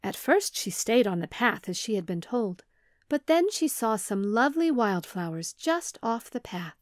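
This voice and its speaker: A woman's voice: on the high side, big pitch swings, normal speed, no echo, clean studio sound. A woman in her thirties, a children's storyteller, narrating a scripted tale expressively.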